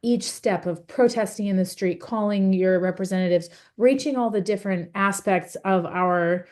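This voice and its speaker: A young woman talks through a video call in a mid-pitched voice. She speaks earnestly but haltingly, pausing on fillers and restarting her sentences as she feels her way forward. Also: a little mellow. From United States. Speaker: panelist. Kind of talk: webinar testimony.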